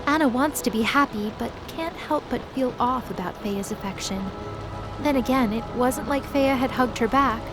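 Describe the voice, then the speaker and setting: High-voiced woman narrating a drama. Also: normal speed, music in the background, rough recording.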